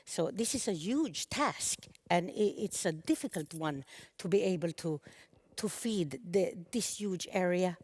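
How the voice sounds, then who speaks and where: Brief: woman in her fifties, lowish voice, halting earnest panel talk. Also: expressive, a little mellow. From Sápmi, northern Sweden. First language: Sami. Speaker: panel speaker. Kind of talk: conference speech.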